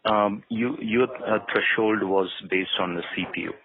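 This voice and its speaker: A man interviewing a job candidate on a call, with halting, hesitant phrasing and frequent pauses. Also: on the low side, creaky, narrow, tinny audio. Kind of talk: spoken interview questioning over the phone. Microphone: phone or call audio.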